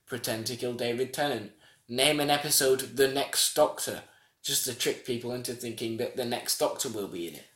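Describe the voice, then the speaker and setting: A man with a nasal voice co-hosts a loose, rambling podcast chat, often breaking off and restarting his sentences. His pitch sits mid-range for a man, and the room around him rings with echo. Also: normal speed, a bit bright. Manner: rambling, self-interrupting, laughs often. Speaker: podcast co-host. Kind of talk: casual chat. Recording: echoey room sound.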